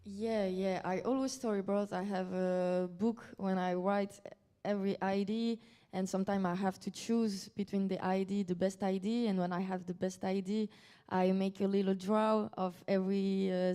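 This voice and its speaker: Mellow-voiced French-accented woman. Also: medium pitch, steady tone, clear, resonant tone. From Belgium. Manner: halting, searching English with many fillers. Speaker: guest singer. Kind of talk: live audience Q&A.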